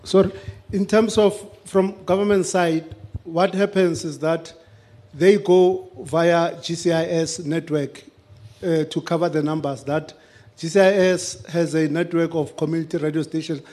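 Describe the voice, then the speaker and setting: A man giving a matter-of-fact, somewhat halting explanation off the cuff at a public discussion. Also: on the high side, fairly even intonation, dark tone.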